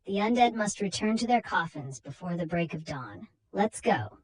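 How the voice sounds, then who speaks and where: A woman's voice: fairly low pitch, lively intonation, moderate pace, breathy edge. A woman voicing a commanding, knowing male doctor who hunts a vampire in a storybook reading, with emphatic, declamatory delivery. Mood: knowing resolve.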